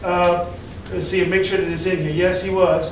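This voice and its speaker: Loud folksy man in echoey hall. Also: high voice, little pitch movement, normal speed, distant mic, a little hiss or hum. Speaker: meeting chairman. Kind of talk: public address to a meeting. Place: community center hall.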